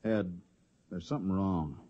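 A man acting in a 1950s radio drama as a curious, excitable, exclamatory biologist on an alien expedition. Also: deep voice, unhurried. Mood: puzzled. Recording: dull old radio recording.

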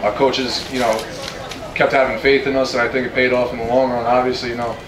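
A man's voice: mid-range voice, level tone, quick pace. A man in his early twenties, a college football player giving earnest answers at a press conference into a handheld mic. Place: press tent with ambient noise. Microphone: handheld microphone. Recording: roomy, distant handheld mic, clear background noise.

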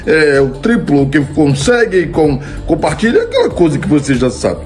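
A man in his fifties with a Brazilian accent, talking loosely into a phone at home. His voice sits high for a man, and faint music runs underneath. He chuckles at his own jokes and keeps tagging lines with "né?". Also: huge pitch swings, moderate pace, a little mellow. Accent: Brazilian Portuguese. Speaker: comedy content creator answering viewer questions. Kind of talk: casual Q&A monologue. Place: home room, indoors. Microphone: old phone camera, close framing.

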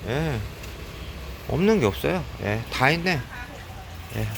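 Vlogger man with a cold, bright, talkative, filling pauses with a stream of "yes", filmed on a handheld phone in a shop with faint store hum. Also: mid-range voice, lively intonation, creaky. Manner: chatty, fills pauses with frequent "yes". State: has a cold. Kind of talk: casual running commentary while shopping. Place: convenience store in Laos.

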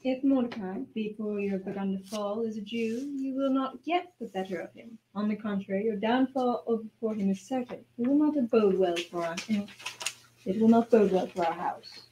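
A woman's voice: medium pitch, normal speed, mellow, close to an everyday voice, poor audio. A woman playing a grave lord's wife foretelling doom, solemn and formal, in an amateur radio play. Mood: foreboding. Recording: faint background noise.

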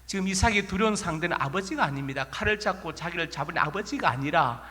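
A male pastor with a Korean regional accent preaching from the pulpit, his voice bright and forceful, pitched a little high. He tells homely stories and keeps firing rhetorical questions at the congregation. Each line rings out with the long reverb of a church sanctuary. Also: animated, fast talker. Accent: Korean regional dialect. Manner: folksy storytelling with rhetorical questions. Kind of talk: sermon.

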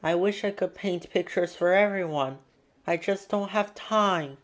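A woman's voice: lowish voice, a little slow, voice pushed up, close to an everyday voice. A woman voicing a young male cartoon cat, a friendly, earnest storybook hero with childlike delivery. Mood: troubled.

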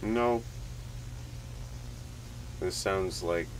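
A man's voice: sits low, extremely slow. A middle-aged man hosting a casual reaction stream, reading on-screen text aloud and chatting in a muttering, wry way. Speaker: host of a reaction show. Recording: noisy home livestream audio.

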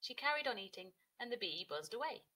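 A woman teacher reads a picture book aloud for young children. Her voice is fairly high and bright, with very expressive, animated intonation and a slightly breathy edge. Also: brisk, poor audio. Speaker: teacher reading a story. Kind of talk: expressive children's story narration.

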